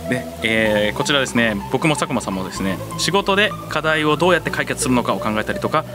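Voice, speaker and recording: A man's voice: mid-range voice, animated, fast, over music. A man hosting a radio talk show, chatting with his guests.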